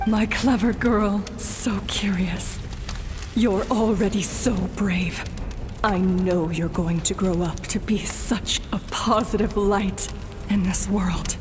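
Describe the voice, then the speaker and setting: A woman's voice: a little high, big-room sound. Wounded, desperate mother. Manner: urgent, tender.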